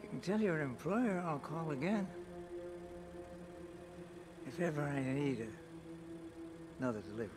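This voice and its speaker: An actor playing an elderly male crime boss in a video game cutscene, calm and unhurried with soothing menace. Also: a little high, over music, close to an everyday voice. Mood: falsely soothing. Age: older.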